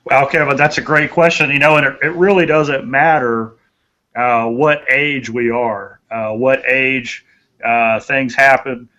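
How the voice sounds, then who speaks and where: A man's voice: mid-range voice, steady tone, a little slow, sharp tone. A man giving conversational motivational advice as a podcast co-host, in a folksy, earnest way, repeating phrases for emphasis.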